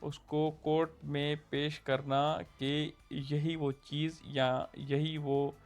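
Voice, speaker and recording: A man's voice: fairly high pitch, little pitch movement, very slow, soft background music. A young man with a Pakistani Urdu accent explains a point of law in a casual, conversational lesson, often checking in with his listeners. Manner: conversational, checks in with "theek hai". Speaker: law lecturer.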